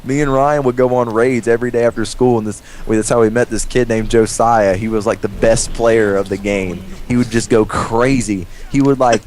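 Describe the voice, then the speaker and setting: A young American man telling a profane, rambling story casually to friends, animated when he acts out quotes. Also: medium pitch, moderate pace, few pauses. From United States. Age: young adult. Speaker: storyteller among friends playing a game. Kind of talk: casual profane storytelling over game voice chat. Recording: noisy game voice chat recording.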